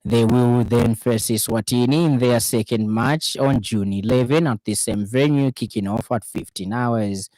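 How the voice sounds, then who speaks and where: A male radio news presenter with a Zambian accent reads a bulletin from a script. His delivery is formal and measured, at a middling pitch. The sound is dry, as in a studio. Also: darkish tone, no room sound, low-quality recording.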